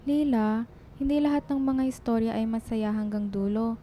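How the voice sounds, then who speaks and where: A woman playing a caring, supportive young friend in a radio drama, speaking in a soft, reassuring way. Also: a little high, normal speed, a little hiss or hum, lightly acted. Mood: gentle, consoling.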